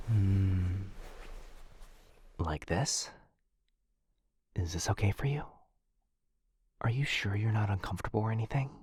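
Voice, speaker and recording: A man playing a shy, affectionate young dog-person roommate in a boyfriend ASMR roleplay, speaking in a soft, halting, flustered way with a breathy, husky voice. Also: on the low side, animated, dry recording, exaggerated voice. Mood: shy, worried.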